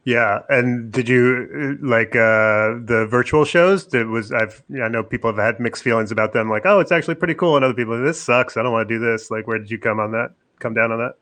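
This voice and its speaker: A man, a comedian, hosting a casual interview over a video call, chatty and joking with halting restarts. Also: mid-range voice, a bit bright. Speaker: comedian and podcast host.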